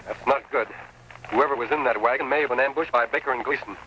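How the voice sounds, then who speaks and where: An actor playing a calm, upright masked western hero with measured, authoritative diction in an old radio drama. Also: male voice, medium pitch, slight background noise, lightly acted, poor audio. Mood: alert. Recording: vintage radio drama, faint music.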